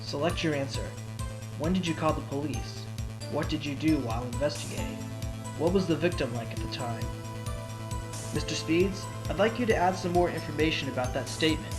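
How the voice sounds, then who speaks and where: A man's voice: a little high, a little fast, lightly acted. A man playing an earnest, determined young defense lawyer in an amateur courtroom audio drama, with music behind him. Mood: unsure, thoughtful.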